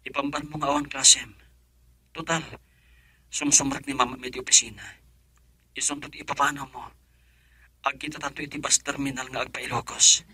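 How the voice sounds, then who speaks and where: An actor playing a defiant, earnest young son and sweetheart in a Filipino radio drama, with a husky voice and Filipino accent. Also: male voice, medium pitch, level tone, a little fast, long pauses, pushed and bright, no echo, exaggerated voice, poor audio. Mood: urgent, coaxing. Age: young adult.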